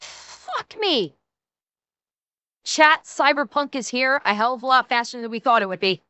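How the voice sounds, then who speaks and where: Bright, high-voiced woman reacting on stream. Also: lively intonation, unhurried, smooth, no room sound. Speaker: reactor commenting on a comedy video. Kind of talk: live stream reaction commentary.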